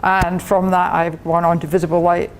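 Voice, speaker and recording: A woman's voice: lowish voice, little pitch movement, normal speed, a little hiss or hum, low-quality recording. An older Scottish woman, a professor, giving a chatty technical talk to a club, laughing often and restarting her sentences. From Scotland. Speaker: guest speaker, professor.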